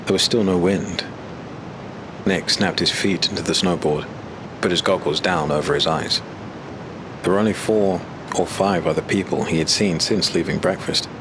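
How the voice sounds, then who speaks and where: A man narrating a dark fantasy audiobook in a steady, measured way. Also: deep voice, background noise.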